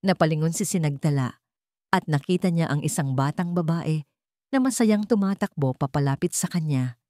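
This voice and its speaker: A woman's voice: deep voice, lively intonation, normal speed, mellow, no echo, studio quality. A woman reading a scripted story in a dramatic narration style.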